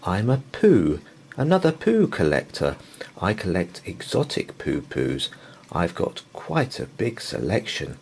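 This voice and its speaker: A man's voice: low-pitched, huge pitch swings, a little slow, gravelly, darkish tone, heavily acted. A man voicing a flamboyant, eager showman and collector in a children's storybook, with boastful, theatrical patter. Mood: proud, excited.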